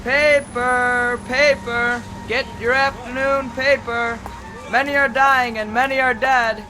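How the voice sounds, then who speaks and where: An actor playing a glum street newspaper vendor with drawn-out hawking cries and dour remarks in a radio drama. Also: male voice, extremely high pitch, flat delivery, music in the background.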